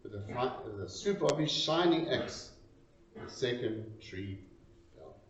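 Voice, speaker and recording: A man reads a narrative poem slowly, with wide swings of pitch. His delivery is dramatic and deliberate, broken into short phrases. The sound is dull and set back from the microphone. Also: a little hiss or hum. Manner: expressive, deliberate, pausing between short phrases. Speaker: reader of a poem. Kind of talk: dramatic storytelling recitation. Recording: roomy, distant, dull lo-fi sound.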